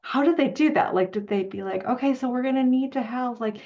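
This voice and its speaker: A woman's voice: a little high, lo-fi sound. A woman interviewing in an effusive, admiring way, feeling out her questions as she talks. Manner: effusive, admiring, halting delivery. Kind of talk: enthusiastic interview conversation.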